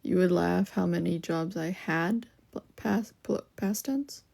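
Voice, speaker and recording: A young woman with a lower voice chatting casually with viewers on a livestream, loose and full of "like" fillers. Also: a little slow, vocal fry, dark tone, no echo. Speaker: livestream host. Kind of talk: casual live-stream chat with viewers.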